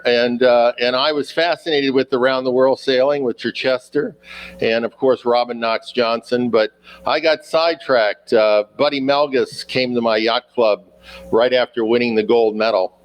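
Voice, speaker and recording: A man, speaking at a middle pitch. He answers questions casually and haltingly, full of fillers and restarts. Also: steady tone, rough recording. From United States. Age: 60s. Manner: halting, many fillers and repeated words. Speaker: guest sailor.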